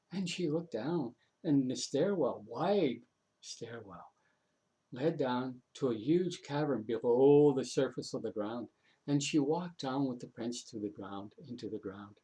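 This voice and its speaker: A man telling a folk tale aloud in a dramatic, unhurried way, repeating phrases for effect and dropping in conversational asides. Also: medium pitch, warm tone, low-quality recording. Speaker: storyteller. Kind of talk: oral folk tale storytelling.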